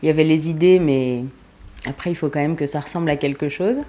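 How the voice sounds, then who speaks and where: A young woman with a notably low-pitched voice, answering interview questions in a casual, meandering way. She hesitates often, restarts her sentences and pads them with fillers. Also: fast talker, warm tone. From eastern France. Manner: hesitant, many fillers, laughs easily. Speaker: fashion designer guest.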